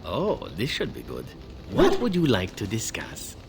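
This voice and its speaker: Roguish male game companion, wry and teasing, lowish voice that swings widely, loud noise behind. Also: wildly swooping pitch, unhurried, full character voice. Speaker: video game companion character. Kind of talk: video game dialogue acting.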